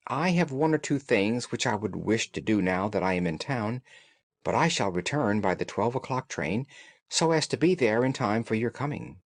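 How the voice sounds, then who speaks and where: Man voicing a troubled young gentlewoman. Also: fairly low pitch, animated, normal speed, no room sound. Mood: relieved, earnest. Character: distraught, frightened young gentlewoman confiding her trouble.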